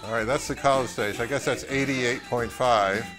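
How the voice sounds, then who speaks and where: Male review host, medium pitch, casual and unscripted, halting think-aloud delivery. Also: over music. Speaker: host of an audio gear video channel.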